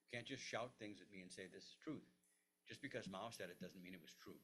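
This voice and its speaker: An older man, a historian, answering audience questions at a lecture, halting with many restarts and fillers. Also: sits low, a bit bright, slight background noise. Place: lecture room.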